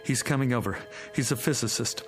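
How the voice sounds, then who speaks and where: A man's voice: mid-range voice, fairly quick, over music, lightly acted. An actor playing a frantic father in a radio drama, speaking with urgent emotion. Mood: tense. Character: frantic father whose small daughter has vanished.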